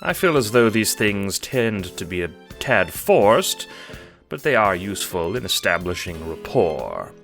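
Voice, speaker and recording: A man's voice: on the low side, sing-song, a little slow, creaky, fairly bright tone, faint music behind, heavily acted. A man playing a genteel, smug, theatrical guardian villain in an audio drama, speaking in a pompous, wordy and affectedly courteous way. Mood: smugly courteous. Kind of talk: audio drama monologue addressed to the listener.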